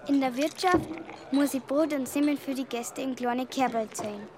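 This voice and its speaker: Woman acting a high-voiced naive country girl. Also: normal speed, a crowd in the background, natural voice. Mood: plain recounting. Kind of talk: radio drama monologue.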